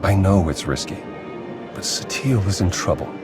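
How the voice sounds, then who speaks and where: An actor playing a determined, plain-spoken agent in a video game, casual and defiant. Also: male voice, low-pitched, moderate pace, loud music behind the voice, close to an everyday voice. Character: stubborn, casual male agent, determined and loyal. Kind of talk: video game cutscene acting.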